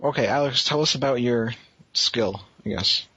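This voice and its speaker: Husky casual male podcast host. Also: medium pitch, moderate pace, bright, forceful tone, no echo. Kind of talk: casual chat. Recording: dull lo-fi voice chat.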